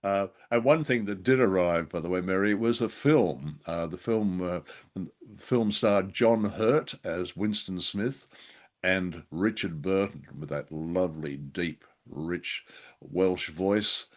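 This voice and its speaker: A man's voice: low-pitched, takes it slowly. A man co-hosting a radio show and podcast, chatting in a casual, conversational style.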